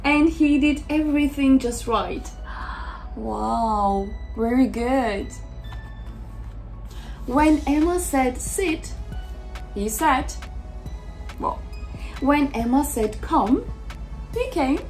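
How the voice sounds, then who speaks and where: High-pitched young woman reading slowly to children. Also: darkish tone, soft background music. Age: young adult. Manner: exaggerated, simple short phrases, reacting to the pictures. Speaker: children's story reader. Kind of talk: expressive picture-book read-aloud with asides to children.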